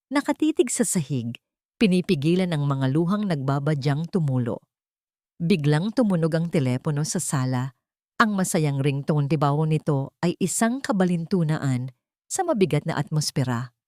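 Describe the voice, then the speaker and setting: A woman's voice: low-pitched, a little mellow, no echo, clean studio sound. A woman with a Filipino accent reading a dramatic scripted story as its narrator.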